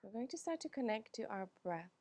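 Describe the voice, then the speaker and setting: A woman whose first language is Finnish, teaching a yoga class in a calm, unhurried way with frequent pauses and "um"s. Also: a little high, no echo. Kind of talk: guided yoga class instruction.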